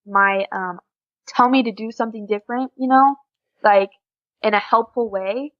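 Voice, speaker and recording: A woman's voice: on the high side, normal speed, dry recording, highs cut off. A teenage woman from the USA with an American accent, chatting informally and giggly as a podcast guest. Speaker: podcast guest, exchange student. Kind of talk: casual interview chat.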